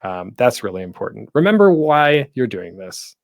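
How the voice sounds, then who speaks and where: A man's voice: on the low side, sing-song, normal speed, no echo, clean studio sound. A man giving an informal presentation as a host, conversational and thinking aloud as he goes. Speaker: panel host and podcaster giving tips. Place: at home, online panel.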